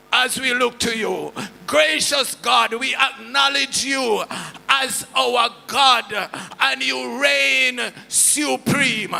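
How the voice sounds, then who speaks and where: A Jamaican man, a pastor, leading a fervent shouted prayer at a political rally with a preaching cadence. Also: very high voice, gravelly, in a large hall. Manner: preaching cadence, loud repeated declarations.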